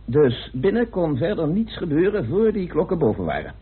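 A man's voice: a little high, big pitch swings, moderate pace, husky, heavily acted. An actor playing an assertive, impatient male investigator in a Dutch radio play. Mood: brisk, probing. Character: Mr Bly, assertive, impatient investigator presenting a murder case. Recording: muffled, band-limited old radio recording.

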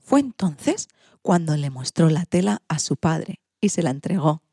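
Casting: female children's narrator, soft mellow timbre with a breathy husk, slightly low. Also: very animated, unhurried, no echo, clean studio sound. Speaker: storyteller and presenter.

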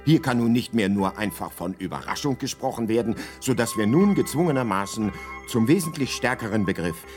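Man as droll guidebook narrator, low voice, animated intonation, mock-solemn radio storytelling. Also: a little fast, close to an everyday voice. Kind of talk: scripted radio drama narration. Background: music.

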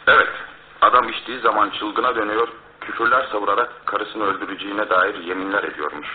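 An actor playing a methodical, cautious police inspector in a radio drama, reporting in a formal, measured way. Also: male voice, fairly low pitch, fairly even intonation, natural voice. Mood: matter-of-fact. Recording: phone-like lo-fi radio broadcast, faint noise.

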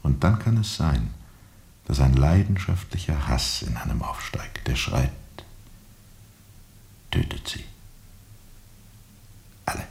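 Haunted river captain, extremely deep, gravelly. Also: male voice, very animated, darkish tone, full character voice. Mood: dark, intense. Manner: slow, grave, measured, often sinking toward a whisper. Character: brooding, haunted steamboat captain recalling a colonial river journey. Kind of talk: radio drama monologue.

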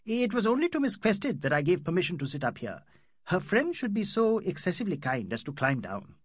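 A man's voice: sits high, huge pitch swings, brisk, husky, no room sound, clean studio sound, voice pushed up, full character voice. A man voicing a nervous, polite, hesitant magistrate in an audiobook, agitated and deferential. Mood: embarrassed, conceding.

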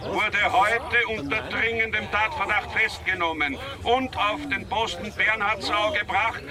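An actor playing a formal police inspector, addressing a crowd in stiff official phrasing in a radio drama. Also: male voice, high voice, unhurried. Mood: formal, measured. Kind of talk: German radio drama acting.

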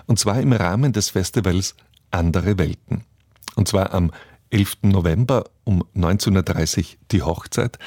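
A man's voice: low-pitched, darkish tone, no room sound, clean studio sound. A man presenting a radio programme from a script.

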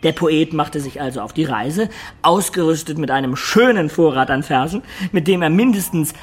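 A man's voice: a little high, expressive, moderate pace, husky. An actor playing a cheerful, sly, self-satisfied medieval liar who tells his tale in a playful, theatrical way for a German radio play. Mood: amused explaining.

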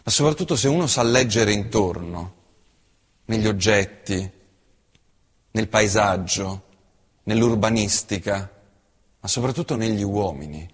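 Husky-voiced man declaiming in a reverberant hall. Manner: impassioned declamation, swinging from loud outbursts to hushed low lines. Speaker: stage actor. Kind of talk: theatrical monologue.

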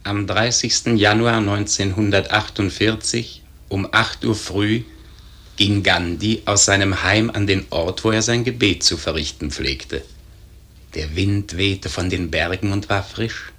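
A man playing a grave storyteller, narrating a literary radio play in measured, formal diction. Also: fairly low pitch, fairly even intonation, a bit bright. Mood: solemn, measured. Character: grave male storyteller narrating a historic tragedy.

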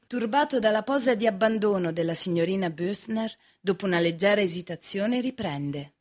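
A woman's voice: mid-range voice, expressive, normal speed, a little mellow. A woman narrator reading scripted stage directions for a radio drama.

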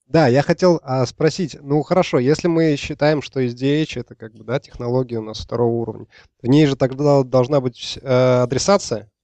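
A man's voice: medium pitch, fairly quick, dark tone, dry recording. A man in a casual technical discussion, asking tentatively and repeating words as he thinks aloud.

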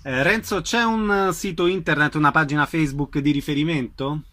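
Male radio host, fairly high voice, interview style, announcing events. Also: moderate pace, bright, forceful tone.

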